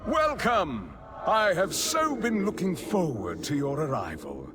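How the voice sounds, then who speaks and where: Pompous, theatrical vampire lord with wildly swooping pitch. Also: male voice, huge pitch swings, a little slow. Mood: mocking welcome. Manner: pompous, preening, silkily sardonic. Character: grandiose, mocking, theatrical male vampire lord and villain. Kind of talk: video game villain acting.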